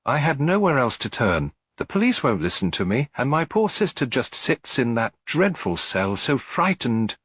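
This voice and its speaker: A man's voice: medium pitch, sing-song, normal speed, few pauses, a bit bright, slightly rough, dry recording, pitched above the performer's own voice. A man voicing an anxious, tearful widow with a hesitant, pleading manner in a storybook reading. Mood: fearful pleading. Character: anxious, tearful working-class widow, thin and worried.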